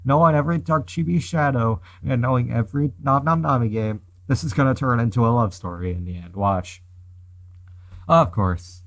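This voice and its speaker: A man's voice: mid-range voice, moderate pace, fairly bright tone. Man doing amused let's play commentary. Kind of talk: let's play reading of a visual novel with asides.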